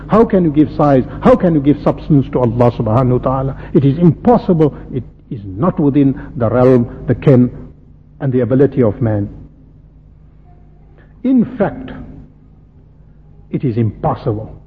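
A man from South Africa preaching a sermon, impassioned, firing rhetorical questions and swinging from loud peaks to soft low asides. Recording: lo-fi phone-like radio broadcast with faint hiss and long hall reverb.

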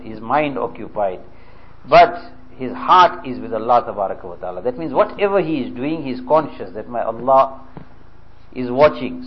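A man giving a sermon, telling a story at an unhurried pace and explaining terms as he goes. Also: mid-range voice, muffled recording, slight background noise. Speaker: religious speaker.

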